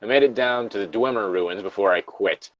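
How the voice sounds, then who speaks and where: A man's voice: lowish voice, fairly quick, muffled recording. A man reading a horror story aloud as co-host, casually, with joking asides and the odd stumble. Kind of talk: casual co-reading of creepypasta with banter.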